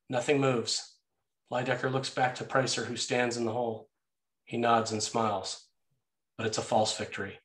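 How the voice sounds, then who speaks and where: A man's voice: medium pitch, fairly bright tone. A man narrating screenplay action and scene headings as a scripted read. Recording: echoey room sound.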